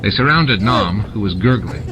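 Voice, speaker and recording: A man's voice: lowish voice, expressive, moderate pace, low-quality recording. A man reading a novel aloud as scripted, dramatic audiobook narration. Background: music and sound effects added to the reading.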